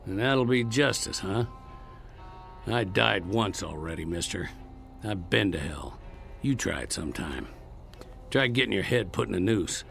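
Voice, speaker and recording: An actor playing a hard, defiant Old West outlaw in a radio drama, with an American Western drawl and plain frontier talk. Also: male voice, low-pitched, expressive, a little slow, gravelly, long pauses, fairly bright tone, soft background music, full character voice. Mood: bitter, defiant.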